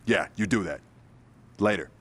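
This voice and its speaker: A man's voice: sits low, normal speed, a bit bright, breathy edge. A man playing a tough but loyal street gang leader in a video game, blunt and streetwise. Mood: reassuring. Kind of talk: video game cutscene acting.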